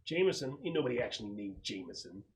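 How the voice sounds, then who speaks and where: A man's voice: on the low side, very animated, fast talker. A man voicing a squirrelly, territorial male thug in a tabletop game, belligerent and curt. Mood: hostile, challenging.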